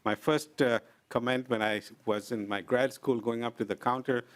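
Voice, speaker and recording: A middle-aged man testifying before a legislative committee. He speaks in an earnest, deliberate way and pauses often with "uh". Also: mid-range voice, steady tone. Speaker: invited presenter at a legislative committee. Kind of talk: formal testimony, explaining with examples. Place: legislative committee hearing room.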